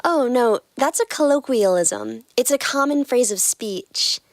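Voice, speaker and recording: A sweet, friendly young woman bookseller, as a man puts her on in a high falsetto. She sounds very high and bright in a dry, close recording, with an eager warmth in every line. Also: animated, a little slow, creaky, no echo, heavily acted. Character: cheerful, kind young woman bookstore owner. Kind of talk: fan fiction read-aloud podcast.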